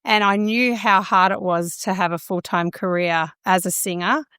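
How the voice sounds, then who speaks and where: Aussie woman, smooth clear voice, chatting about her past, relaxed and reflective. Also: medium pitch, moderate pace, dry recording, studio quality. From Australia. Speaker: guest, speech pathologist and singer. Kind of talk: casual interview answers.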